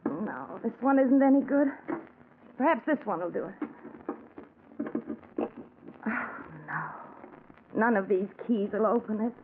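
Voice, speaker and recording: High-voiced, troubled wife, old radio. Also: female voice, lively intonation, slow pace, long pauses, noisy background. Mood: determined. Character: worried, grieving young wife, fragile but stubbornly determined. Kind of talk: 1940s radio drama acting. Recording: tinny 1940s radio recording.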